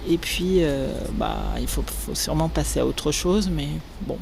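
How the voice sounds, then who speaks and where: A hesitant, soft-spoken woman from Normandy chats with an interviewer out on a city street. Traffic and street noise come through clearly around her voice, which is picked up on a handheld recorder. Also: sits low, moderate pace. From Normandy, France. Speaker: interviewee, local resident. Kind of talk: casual street conversation. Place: street outdoors.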